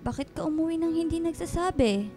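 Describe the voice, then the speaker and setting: A woman playing a gentle, caring older nanny in a radio drama, soothing and patient. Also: extremely high pitch, expressive, a little fast, over music. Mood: worried, tender. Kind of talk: Filipino radio drama acting.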